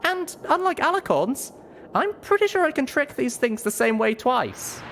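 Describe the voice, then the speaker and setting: Young mare adventurer, played by a male reader: very high voice, plucky, earnest, easily flustered, storybook style. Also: sing-song, moderate pace, noisy background, heavily acted. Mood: whispering, confident.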